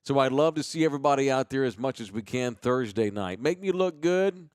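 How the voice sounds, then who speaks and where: An American man hosting a podcast with casual banter and sponsor shout-outs, talking in a slangy, rambling, profane way. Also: medium pitch, lively intonation, fairly quick, clean tone, no echo, studio quality. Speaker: host of a live podcast.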